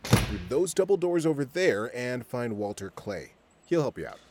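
Audio comedy: male actor as easygoing, teasing security guard, casual talk with animated pitch. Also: moderate pace, a little hiss or hum, lightly acted. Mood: friendly. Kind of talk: audio fiction comedy acting.

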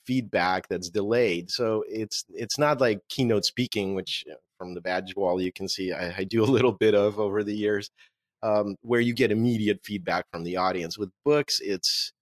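A man in his forties, born in Russia with Russian as his first language, answering interview questions as an author in a relaxed, joking way. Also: on the low side, moderate pace, no room sound. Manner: relaxed, joking, laughs at own quips. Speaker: author and marketing agency owner.

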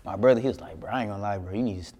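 A young man from Flint, Michigan, a rapper telling stories in a casual interview, laid-back and slangy, often trailing off quietly. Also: fairly low pitch, very fast, long reverb tail. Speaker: rapper guest. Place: indoor interview room.

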